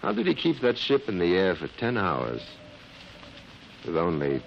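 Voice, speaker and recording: An actor playing a calm, paternal military doctor in a 1950s radio drama. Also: male voice, low-pitched, a little slow, gravelly, exaggerated voice. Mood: puzzled. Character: calm, paternal military medical officer, quietly curious. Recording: muffled old radio recording, faint noise.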